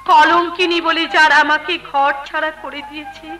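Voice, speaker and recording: Anguished wronged wife, very high-pitched. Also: female voice, flat delivery, moderate pace, sharp tone, faint music behind. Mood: anguished pleading. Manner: declamatory, tearful, impassioned. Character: wronged, cast-out young wife, anguished and pleading. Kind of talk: Bengali jatra folk theatre melodrama.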